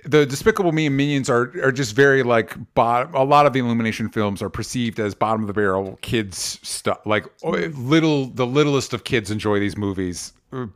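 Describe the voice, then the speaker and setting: A man's voice: mid-range voice, brisk, few pauses. A man with a mid-range voice hosts a casual film discussion. He talks bluntly and argues his opinions freely, in loose conversational language that can get sweary. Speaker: host of a movie discussion show. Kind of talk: casual opinionated movie chat.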